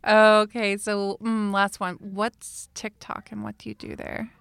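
A woman's voice: medium pitch, little pitch movement, measured pace, gravelly, fairly bright tone, clean tone, dry recording. Chatty woman in her thirties hosting. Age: 30s. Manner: chatty, laughs often, many fillers. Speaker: podcast host. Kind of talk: casual chat.

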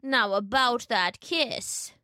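A woman's voice: fairly high pitch, pushed and bright, no room sound, clean studio sound. A woman doing a wolf faking a granny voice. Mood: sickly sweet. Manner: slow, put-on quavering sweetness. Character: hungry male wolf faking a frail old grandmother.

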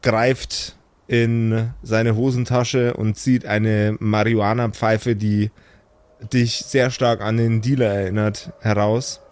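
Man, low voice, game master, improvised tabletop narration, audio play. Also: little pitch movement, slow pace, a little mellow, clean tone.

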